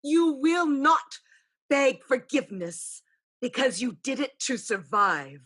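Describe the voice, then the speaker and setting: A woman playing an elder ancestral spirit, a wise, pragmatic aunt, in a deliberate, knowing staged reading. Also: sits high, very animated, a bit bright, breathy edge, exaggerated voice. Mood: consoling. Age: older. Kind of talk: staged play reading, dramatic and measured.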